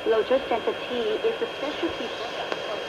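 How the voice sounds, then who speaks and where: A high-pitched woman reads scripted radio news and features as a presenter. Her voice comes through very noisy shortwave reception, with loud hiss and static throughout. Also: a little fast, lo-fi sound. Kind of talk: scripted news and magazine reading.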